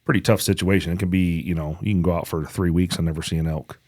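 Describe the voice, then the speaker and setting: Deep-voiced man, blunt podcast co-host. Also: steady tone, quick pace, a little mellow, no room sound, clean studio sound. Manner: blunt, profane. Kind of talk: casual podcast chat about hunting and events.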